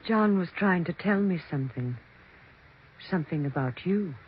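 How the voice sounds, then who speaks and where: An actress playing a solemn, insinuating middle-aged sister-in-law in a 1940s radio drama, measured and insistent. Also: female voice, on the low side, expressive, lightly acted. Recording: muffled, faintly noisy 1940s radio.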